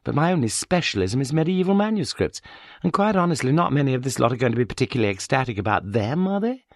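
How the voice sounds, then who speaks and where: A man voicing a sharp-tongued, sardonic middle-aged woman academic in an audiobook. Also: medium pitch, sing-song, fast, dry recording, clean studio sound, pitched above the performer's own voice. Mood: dry, wry. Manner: wry, sardonic.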